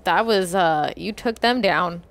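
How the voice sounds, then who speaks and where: A woman's voice: lowish voice, measured pace, a little hiss or hum. A woman giving casual live commentary as a reaction show co-host. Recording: loud game music behind voice. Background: video game soundtrack and effects.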